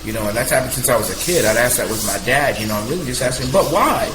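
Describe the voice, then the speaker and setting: A man, a podcast guest, talking openly and passionately, his voice rising higher and louder as he gets animated and talks with his hands. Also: fast. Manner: talks with hands, bouncing while speaking. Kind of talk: candid conversational sharing. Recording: roomy sound with clear background noise.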